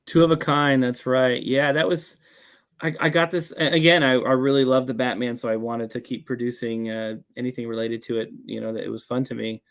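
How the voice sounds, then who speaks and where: A man's voice: medium pitch, little pitch movement, fairly quick, clear, resonant tone. Casual, modest man chatting as guest. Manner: modest, appreciative, informal. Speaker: podcast guest, fan audio drama producer. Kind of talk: casual interview chat.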